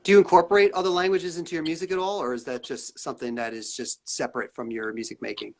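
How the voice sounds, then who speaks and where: A man's voice: on the high side, flat delivery, fast talker. A man hosting a live-streamed interview, talking loosely and rambling with many fillers such as "uh" and "you know". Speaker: interview host.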